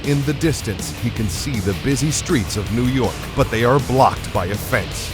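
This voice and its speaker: A man's voice: medium pitch, moderate pace. A man giving dramatic scripted narration as the narrator of an audio drama. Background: music clearly underneath.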